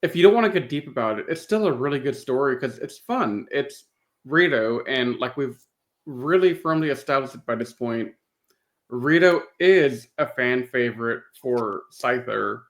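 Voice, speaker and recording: Mid-pitched man, casual podcast host. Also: expressive, moderate pace. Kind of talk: casual review chat.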